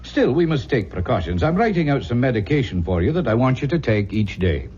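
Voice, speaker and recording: Actor as a jovial, lilting country doctor. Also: male voice, mid-range voice, sing-song, fairly quick, darkish tone, slight background noise, natural voice. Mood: reassuring, cheerful. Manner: hearty, reassuring, courtly. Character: jovial, reassuring country doctor, breezily paternal. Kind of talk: old-time radio drama acting. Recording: muffled vintage radio recording, music.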